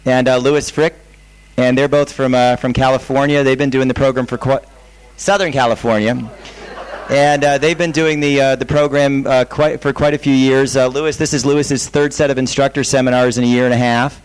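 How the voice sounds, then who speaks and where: Smooth-voiced man introducing guests. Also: mid-range voice, steady tone, poor audio. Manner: halting, many fillers. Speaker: seminar organizer.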